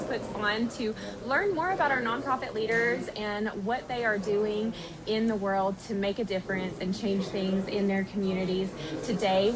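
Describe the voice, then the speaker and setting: Host, Southern woman, cheerful and easygoing, noisy line. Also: a little high. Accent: Southern American. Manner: upbeat, laughs easily. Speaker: podcast host. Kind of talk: podcast interview.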